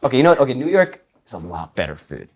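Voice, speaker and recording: A man from Iceland, a guitarist and composer, chatting in an interview in a relaxed, rambling way with frequent laughs. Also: mid-range voice, rapid-fire, highs cut off. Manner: relaxed, rambling, laughs often, many fillers. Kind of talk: casual interview chat.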